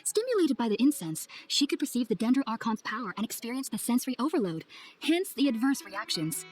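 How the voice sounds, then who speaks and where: Woman acting an earnest, high-voiced young female scholar. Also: close to an everyday voice. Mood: reassuring. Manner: formal, measured, articulate, explanatory. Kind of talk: video game cutscene acting.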